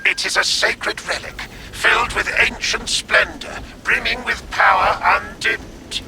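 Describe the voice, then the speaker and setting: Game artisan, male,: florid, deferential, raspy and high. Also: steady tone, measured pace, heavy background noise. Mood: reverent. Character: fussy reverent male artisan, proud of ancient machines. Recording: voice under loud game score.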